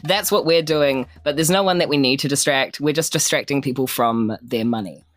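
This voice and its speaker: A woman's voice: rumbling low, brisk, no echo. A woman, a player chatting casually and playfully during a tabletop game.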